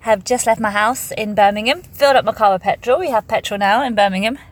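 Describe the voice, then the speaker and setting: A woman in her forties, a touring comedian whose first language was Polish, chatting excitedly in a casual vlog from her car and a service station shop. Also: mid-range voice, quick pace. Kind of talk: casual vlog and personal storytelling.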